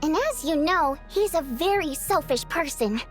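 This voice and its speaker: A woman voicing a youthful, earnest and thoughtful female companion in a story game, explaining things in a measured way. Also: sits high, expressive, faint music behind. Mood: serious, analytical. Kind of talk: scripted game story dialogue.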